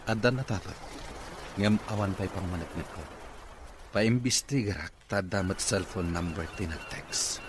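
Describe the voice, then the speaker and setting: Man acting deep-voiced, protective Ilocano boss. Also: normal speed, close to an everyday voice. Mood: firm. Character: kind, protective wealthy male boss of a mansion. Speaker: radio drama actor. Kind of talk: radio drama acting in Ilocano. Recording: noisy radio drama with ambience.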